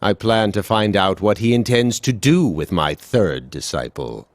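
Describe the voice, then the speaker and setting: An actor playing a stern elderly scientist in a video game, blunt, deliberate and resolute. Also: male voice, on the low side, lively intonation, no room sound. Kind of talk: video game cutscene acting.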